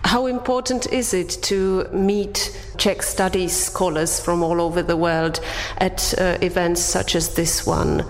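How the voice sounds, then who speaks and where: Slow-speaking woman interviewer, reverberant. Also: on the low side, steady tone. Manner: formal, prepared questions. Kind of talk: interview questions. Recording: long reverb, faint noise.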